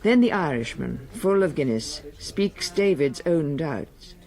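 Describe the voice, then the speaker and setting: A man giving measured, scripted literary narration as storyteller of a period radio drama. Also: a little high, animated, creaky, darkish tone. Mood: measured, evocative.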